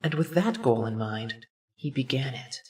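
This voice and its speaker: A woman playing an earnest, gentle teenage schoolboy in an anime fandub. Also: extremely low pitch, moderate pace, recorded from a distance. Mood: somber, tense. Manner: earnest, consoling. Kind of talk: anime game fandub acting. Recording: music under the voice.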